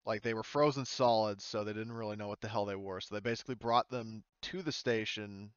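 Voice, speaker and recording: A man's voice: lowish voice, steady tone, brisk, clear, resonant tone, no room sound, dull audio. A man running a tabletop game as game master, narrating off the cuff in an informal, explanatory way and swearing casually.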